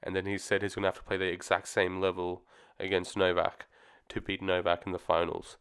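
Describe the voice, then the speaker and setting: Low, flat-voiced man getting over flu. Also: brisk, clean tone. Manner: easygoing, rambling, frequent ums and restarts. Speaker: tennis commentary vlogger. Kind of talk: casual solo opinion talk.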